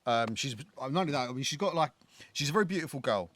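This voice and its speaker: Fast-talking London man. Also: mid-range voice, animated, fairly bright tone, faint music behind. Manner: rambling, swears freely, many fillers and restarts. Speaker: podcast co-host. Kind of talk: casual film discussion. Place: living in Ireland.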